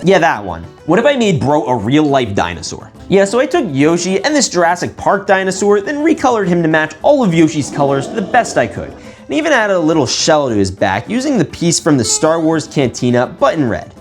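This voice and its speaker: Animated young man, casual vlog narration. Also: a little high, fairly quick, faint music behind. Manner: slangy, jokey, exclamatory. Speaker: video host.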